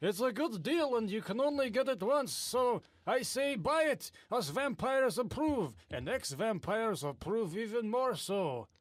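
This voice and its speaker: A cheerful vampire salesman in a comedy infomercial. The voice sits extremely high and theatrical, recorded dry and close, always pitching to fellow undead. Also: expressive, unhurried, few pauses, no echo. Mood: breezy selling.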